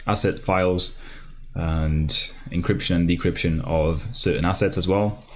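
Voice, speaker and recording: A man from Brazil, Portuguese his first tongue, hosts a relaxed programming livestream and talks through the setup. His voice sits very low, and he keeps trailing off and restarting his sentences. Keyboard typing and a faint hiss can be heard behind him. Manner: casual, rambling with many fillers and restarts. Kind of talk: live coding tutorial, thinking aloud.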